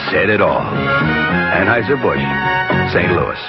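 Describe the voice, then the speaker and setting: American male announcer, conversational pitch, very animated intonation, muffled seventies radio ad. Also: takes it slowly. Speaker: commercial announcer. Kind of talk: 1970s radio ad read, conversational sell. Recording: muffled old radio, loud music bed.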